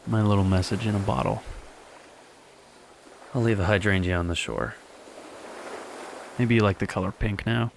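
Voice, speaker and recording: An actor playing a young man speaking softly and wistfully to a lost love in a radio drama, with quiet laughs. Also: on the low side, steady tone, normal speed, vocal fry, pauses often, slight background noise. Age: young adult.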